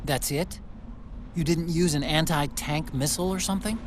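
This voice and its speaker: A man's voice: a little high, normal speed, natural voice. An actor playing a chatty, nerdy male engineer and sidekick in a video game cutscene, talkative and earnest. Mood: curious. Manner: talkative, earnest, gets excitable. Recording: game audio with clear background noise.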